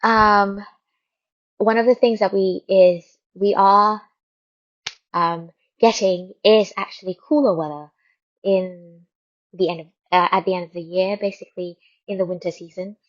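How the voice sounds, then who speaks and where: A woman from Thailand presents a radio update in a casual, conversational style. Her voice sits at a middling pitch, and she rambles a little, repeating words to stress them. The audio is somewhat dull and lo-fi. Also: fairly even intonation, unhurried. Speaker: radio host.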